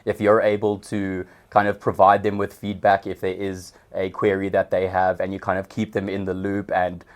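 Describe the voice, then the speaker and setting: A young South African man, a podcast guest, explaining his work in a relaxed way with some hesitations. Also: low voice, normal speed, few pauses. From Johannesburg, South Africa. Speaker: guest, customer success manager. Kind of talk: casual interview.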